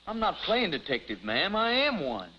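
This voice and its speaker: An actor playing a naive, earnest young amateur detective with boyish, stammering eagerness in a 1950s television comedy. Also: male voice, sits high, wildly swooping pitch, normal speed, sharp tone, full character voice. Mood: earnest, flustered. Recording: muffled, hissy 1950s television soundtrack.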